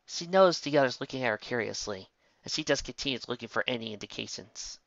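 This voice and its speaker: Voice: mid-range voice, animated, fast talker, gravelly, fairly bright tone, no echo, muffled recording. A narrator reading a fan fiction story in a scripted storytelling style.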